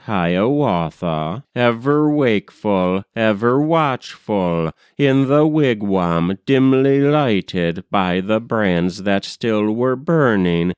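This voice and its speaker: A man reciting narrative verse in a measured, solemn, dramatic manner, as a poetry reader. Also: on the low side, clean tone, dry recording.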